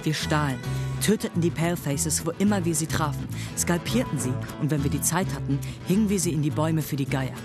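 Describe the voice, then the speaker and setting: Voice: medium pitch, brisk, close to an everyday voice. A performer playing an adventurous Apache raider who vividly recounts a youth of raids in a German radio drama. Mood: proud, reminiscing. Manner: reminiscing, vivid. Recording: loud guitar music behind.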